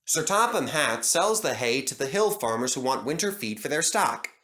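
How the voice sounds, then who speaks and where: A man narrating a children's train storybook in an animated storytelling manner. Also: mid-range voice, normal speed.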